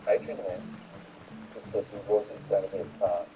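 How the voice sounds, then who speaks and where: A man's voice: low-pitched, little pitch movement, normal speed, warm tone, soft background music. A man chatting casually, heard at a distance. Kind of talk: casual chat.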